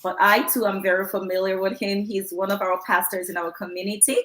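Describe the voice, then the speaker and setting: A woman's voice: mid-range voice, level tone, fairly quick, fairly bright tone, reverberant, poor audio. A woman moderating an online panel, asking guests polite questions over a video call, with frequent fillers.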